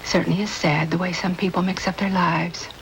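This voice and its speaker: A woman's voice: fairly low pitch, flat delivery, a little fast, gravelly, poor audio. Fussy, gossipy landlady. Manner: chatty, fussy, disapproving. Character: gossipy, proper middle-aged landlady. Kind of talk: 1950s radio drama acting. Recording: old radio recording with faint hiss.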